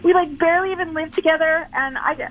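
A woman's voice: very high voice, fast, a bit bright, faint music behind. A young woman talking on a phone call to a radio show, emphatic and protesting, repeating her words. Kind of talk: conversational phone talk.

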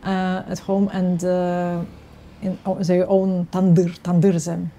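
A woman in her thirties, a native Russian speaker from Kyrgyzstan, chatting casually in a home kitchen and sometimes pausing to search for words. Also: on the low side, completely flat delivery, mellow. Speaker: guest violinist. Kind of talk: casual chat.

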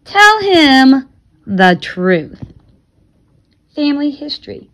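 A woman's voice: sits high, sing-song, slow, fairly bright tone, voice pushed up, full character voice. Woman reading as a blunt old grandfather. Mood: scolding.

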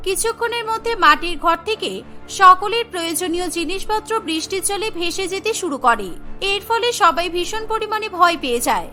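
A woman's voice: very high voice, expressive, fairly quick, sharp tone, soft background music. A woman narrating a scripted cartoon story as the storyteller.

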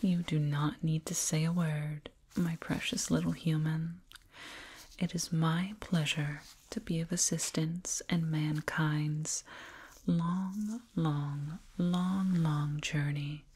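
Slow, breathy whispering woman. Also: deep voice, fairly even intonation, a little mellow. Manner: slow, soothing, patronizing, deliberate. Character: condescending, eerily soothing alien dentist tending a human. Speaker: ASMR roleplay performer. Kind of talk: whispered ASMR roleplay. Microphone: close binaural microphone.

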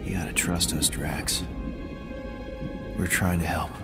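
An actor playing a young, casual, wisecracking human leader of a space crew in a video game. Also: male voice, on the high side, expressive, measured pace, over loud music. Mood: earnest. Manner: wisecracking, informal.

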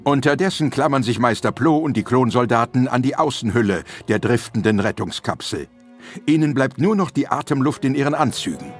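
Ein Mann liest als Erzähler den Text eines dramatischen Hörspiels. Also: male voice, mid-range voice, expressive, fairly quick, faint music behind. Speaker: narrator. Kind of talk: scripted dramatic audio drama narration.

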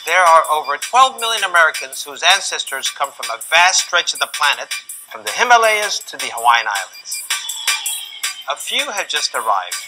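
Korean-born male host, middle-aged, animated scripted delivery with hand gestures, music bed underneath. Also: on the high side, normal speed, rough recording. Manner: lively, emphatic delivery with sweeping hand gestures. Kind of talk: scripted presenting to camera. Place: large indoor museum gallery.

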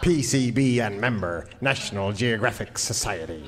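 A man playing a pompous, folksy quack doctor pitching in a parody radio commercial. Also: animated, moderate pace, close to an everyday voice. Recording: long hall reverb.

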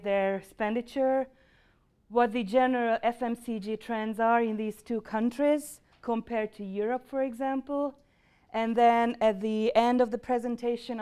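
A woman's voice: fairly high pitch, a little mellow, clear, resonant tone. A middle-aged woman with a Hungarian accent presents market research in a university lecture room, explaining with frequent fillers.

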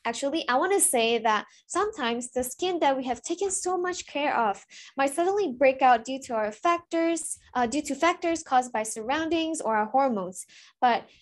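Woman in her thirties, high voice, earnest and chatty, webcam call testimonial. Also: expressive, normal speed. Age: 30s. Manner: earnest, chatty, with frequent fillers and restarts. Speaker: product testimonial speaker. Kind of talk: personal testimonial on a video call. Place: indoors before a virtual backdrop. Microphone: webcam on a video call.

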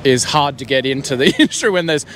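An Australian man in his thirties hosting a chatty interview outdoors at an expo, agreeing often and talking quickly. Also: medium pitch, a bit bright. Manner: chatty, agreeable, frequent yeahs. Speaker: channel host interviewing exhibitors. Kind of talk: casual chat at a trade expo. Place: outdoor expo field by a marquee. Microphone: handheld mic, wide camera.

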